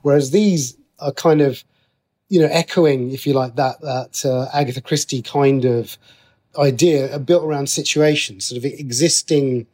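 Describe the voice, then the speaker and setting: Halting, explanatory man in an interview. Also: mid-range voice, a little mellow. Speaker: interview guest, TV and radio writer. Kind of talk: conversational interview, explaining creative work.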